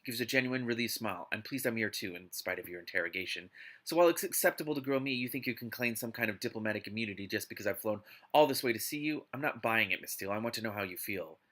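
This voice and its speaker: A man hosting a live read-aloud of a book, mixing narration with casual, exasperated and expressive commentary. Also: lowish voice, quick pace. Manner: exasperated, expressive asides while reading.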